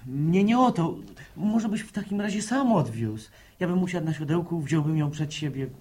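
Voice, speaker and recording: An actor playing an eager, hot-headed young farm lad in a radio drama, with plain rustic, impulsive speech. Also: male voice, fairly high pitch, expressive, moderate pace, darkish tone, husky. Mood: pleading.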